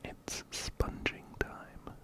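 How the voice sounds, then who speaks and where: A man narrating a video game in a slow, breathy ASMR whisper, very close to a binaural mic, softly repeating words. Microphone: close-up binaural microphone, ear to ear.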